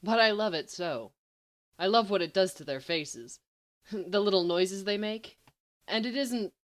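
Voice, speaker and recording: A woman's voice: medium pitch, a little slow, lightly acted. Woman reading as lovesick, bumbling teenage boy. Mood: impish.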